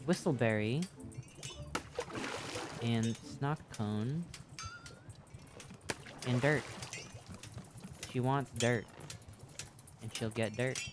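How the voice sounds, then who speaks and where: A game streamer in their thirties with a mid-pitched voice, talking over music. The delivery is loose and casual, full of slang and swearing. They riff on themselves in a self-deprecating way and get animated while doing it. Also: extremely slow, pauses often. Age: 30s. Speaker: video game livestreamer. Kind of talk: casual livestream chat over gameplay, reading and answering viewer comments.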